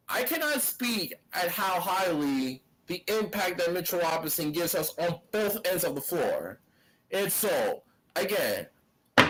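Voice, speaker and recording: A man co-hosting a sports podcast, talking in a casual, animated way and often restarting his phrases. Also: sits high, low-quality recording.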